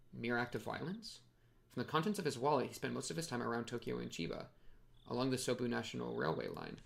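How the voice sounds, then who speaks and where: Young man, casual game commentator, mid-pitched, crisp bright edge, creaky, slangy delivery. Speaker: streamer playing a visual novel. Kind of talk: casual playthrough commentary and reading aloud.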